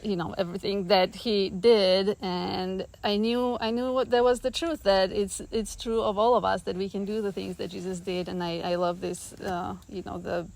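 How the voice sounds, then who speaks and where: A woman's voice: mid-range voice, fairly even intonation, normal speed, creaky, few pauses, darkish tone, low-quality recording. Earnest woman in reflective conversation. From Romania. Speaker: guest, artist.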